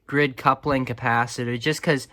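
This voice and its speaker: A man's voice: mid-range voice, fairly even intonation, moderate pace, bright, forceful tone. A man talking casually and off the cuff, in an offhand, self-deprecating way, as he narrates a hands-on repair. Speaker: hobbyist radio repairer presenting own video.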